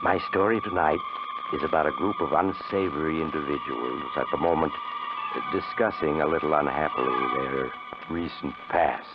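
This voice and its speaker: A very noisy, muffled old radio recording of an ominous male mystery narrator. His extremely deep voice is suave and measured, wryly inviting listeners into a 1950s horror tale. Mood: sinister, inviting. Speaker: story host.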